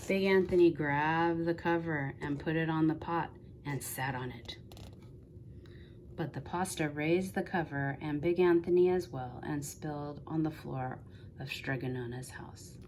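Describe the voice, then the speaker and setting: A woman reading a children's story aloud with expressive storytelling delivery. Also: sits low, a little slow, slight background noise. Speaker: storybook reader.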